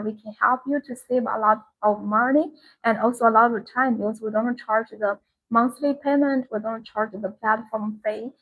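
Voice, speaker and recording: A woman presenting products on a live sales stream, explaining informally with repeated points and halting, non-native English phrasing. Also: fairly high pitch, fairly bright tone, rough recording.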